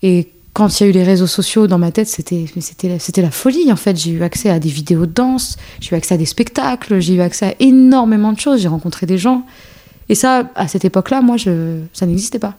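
Woman, thirties, from Algeria, casual interview guest, hesitant, mellow tone, mid pitch. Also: fast. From born in Algeria, raised in a French suburb. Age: 30s. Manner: hesitant, many fillers and restarts. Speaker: guest, choreographer and dance teacher.

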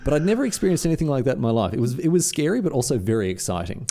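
Lively young man joking around. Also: mid-range voice, racing. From Australia. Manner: animated, jokey, playful put-on quotes. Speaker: podcast co-host. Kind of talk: casual chat.

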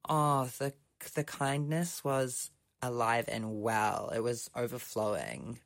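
A man's voice: medium pitch, creaky, a bit bright. A man with a New Zealand accent co-hosting a podcast in casual, chatty and playful banter. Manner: chatty, playful, drawn-out teasing. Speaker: podcast co-host. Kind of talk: casual chat.

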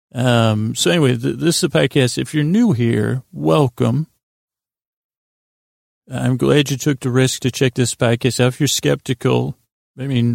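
A man hosting a sleep podcast in lulling, soothing tones, meandering and halting through a gently self-deprecating monologue. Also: mid-range voice, creaky, no echo, studio quality. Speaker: podcast host.

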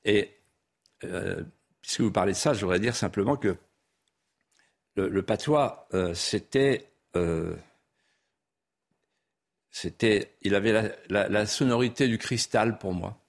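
Man, deep voice, eloquent guest author, lyrical, patois as first language, rises to emphatic peaks. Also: a little slow, gravelly, long pauses. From France. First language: Vendée patois. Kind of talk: studio interview, eloquent.

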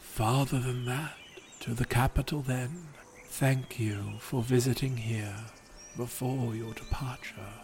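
A man voicing a humble old male god who is gentle, tearful and hoarse from a century of silence. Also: medium pitch, fairly even intonation, slow pace, a little hiss or hum.

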